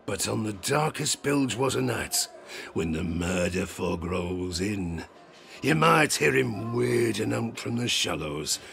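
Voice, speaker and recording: An actor playing an old one-legged sailor with a gravelly voice, spinning dark sea tales theatrically in salty dialect for an audio drama. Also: male voice, fairly low pitch, heavily acted. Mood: ominous. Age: older. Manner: salty dialect, conspiratorial, dramatic swells and hushes.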